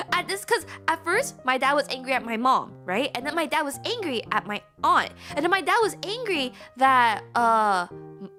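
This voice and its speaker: A young woman in her twenties streams and rambles through a family story in a high, light voice. She talks in a chatty way, piles on "like" and stretches out the lines she quotes for effect. Her tone is bright and clear, with soft music under it. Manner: chatty, full of "like" fillers, acts out family quotes with slowed emphasis. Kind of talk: casual storytelling on a livestream.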